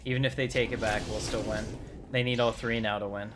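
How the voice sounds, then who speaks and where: A man's voice: lowish voice, little pitch movement, brisk, noisy background. A man livestreaming a game, chatting casually and off the cuff with his viewers. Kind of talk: casual livestream gaming chat.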